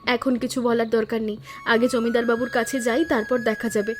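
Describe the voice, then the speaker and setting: A woman as an earnest high-voiced village daughter-in-law. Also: flat delivery, normal speed, over music, close to an everyday voice. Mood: resolute. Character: earnest, dutiful village daughter-in-law, worried but resolute. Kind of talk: Bengali cartoon story dialogue.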